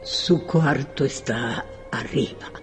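An old widow from a radio play, commanding and reclusive. She speaks extremely slowly and deliberately, with music behind her. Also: very deep voice. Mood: imperious. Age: older. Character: frail elderly widow, reclusive, imperious and insistent. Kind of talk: radio drama acting.

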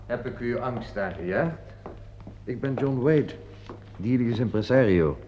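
Actor as smooth impresario, slightly low-key male role, medium pitch, formal and courteous, dull radio recording. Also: lively intonation, measured pace, dark tone, slight background noise, natural voice. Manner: formal, polite. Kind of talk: radio play acting.

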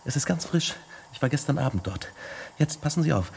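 A driven young science student, higher-pitched. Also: male voice, lively intonation, a little fast, vocal fry, a little hiss or hum. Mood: absorbed, excited. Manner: intense, insistent, articulate. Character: driven, fearless young male science student, obsessed with life. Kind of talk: German radio drama acting.

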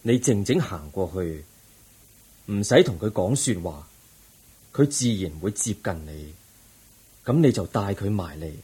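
An actor playing a calm, all-knowing Buddha in a Cantonese radio drama, solemn and compassionate, with a breathy voice and a Hong Kong accent. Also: male voice, deep voice, a little slow, long pauses, exaggerated voice. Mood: calm, instructing.

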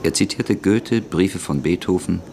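A man narrating a radio drama in a calm, even storytelling voice. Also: deep voice, unhurried, gravelly, slight background noise.

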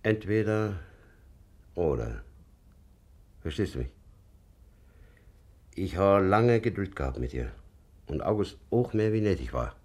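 A man's voice: low-pitched, very animated, a little slow, long pauses, a little mellow, full character voice. An actor playing a stern, pious old father in a radio play, exasperated and reproachful. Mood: scolding. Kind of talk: naturalistic radio play acting.

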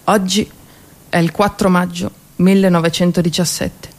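A woman performing a theatrical war monologue as a stage actress, intense and emphatic, with dramatic pauses. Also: on the low side, little pitch movement.

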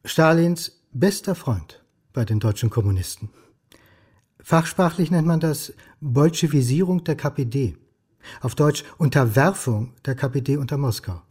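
An actor in a radio crime drama playing a stubborn, irritable historian, speaking in a dry, clipped, sardonic way. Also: male voice, fairly high pitch, expressive, a little slow, a little mellow, breathy edge. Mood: dry, matter-of-fact. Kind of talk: German crime radio play acting, narration and dialogue.

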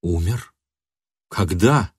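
A male reader acts a bewildered, guilt-stricken man in a literary audiobook. The voice is fairly low and subdued, sorrowful and pleading. The sound is dry and close. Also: lively intonation, drawn-out words, a little mellow, slightly rough, no echo, heavily acted. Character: bewildered, remorseful man, soothing his angry lover.

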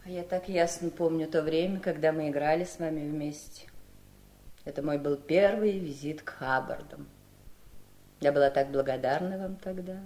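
An actress playing a wistful, gentle, chatty lady in a radio drama. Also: low voice, normal speed, lightly acted. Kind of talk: 1970s radio drama acting. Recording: roomy 1970s radio studio recording.

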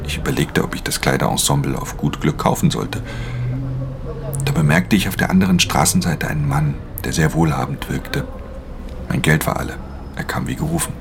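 A man's voice: animated, a little fast, noisy background, full character voice. An actor playing a brooding, guarded pickpocket who narrates his own crime story in a radio drama, terse and subdued. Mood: tense narration. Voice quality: low, gravelly.

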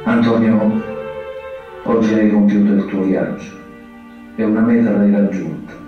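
A man's voice: lowish voice, steady tone, a little slow, lightly acted. An actor playing a compassionate, solemn male priest in an Italian radio theatre monologue, grave and preaching in poetic phrases. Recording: dull echoey distant sound, music behind.

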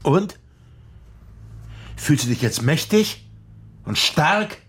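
Husky, arrogant middle-aged politician. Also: male voice, a little high, animated, very slow, a bit bright, exaggerated voice. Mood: scornful, defiant. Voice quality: husky, gravelly. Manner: condescending, blunt, emphatic. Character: combative, arrogant middle-aged male politician, scornful, cornered yet defiant. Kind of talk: naturalistic German radio crime drama acting.

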